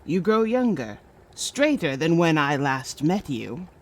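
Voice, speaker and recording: A woman playing a suspicious, commanding noblewoman in a fantasy audio drama, stern and deliberate. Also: low voice, sing-song, heavily acted.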